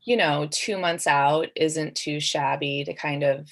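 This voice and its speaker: Low-voiced middle-aged woman, a novelist. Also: steady tone, unhurried. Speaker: debut novelist, guest. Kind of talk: literary reading and casual panel talk.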